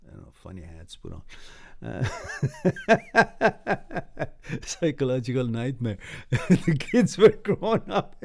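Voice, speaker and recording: A man's voice: medium pitch, wildly swooping pitch, slow, creaky, long pauses, mellow, a little hiss or hum. A man whose first language is Farsi hosts a live stream, reading viewer comments aloud and giving blunt, breezy advice, laughing often between sentences.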